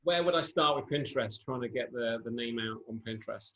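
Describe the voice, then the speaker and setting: A man's voice: mid-range voice, brisk, pushed and bright, dull audio. Rambling male podcast host. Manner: rambling, hesitant questions with fillers. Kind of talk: casual interview questions.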